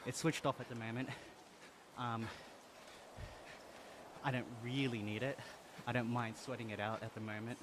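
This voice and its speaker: A man's voice: mid-range voice, level tone, normal speed, pauses often, noisy background. Chatty Australian man streaming while cycling. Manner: upbeat, laughs often, friendly and chatty. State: exercising on an indoor bike while talking. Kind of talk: casual live-stream chat, reading viewer comments.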